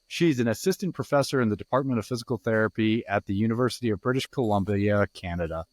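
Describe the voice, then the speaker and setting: Male podcast host, friendly, fairly low voice, dry close mic. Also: quick pace, darkish tone, dry recording. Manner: friendly, with frequent "uh" fillers. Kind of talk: podcast interview hosting.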